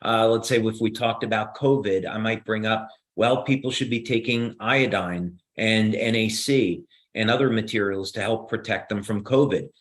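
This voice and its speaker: A man with a New Jersey accent explaining science on a video call, measured and steady, with frequent "uh" fillers. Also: fairly low pitch.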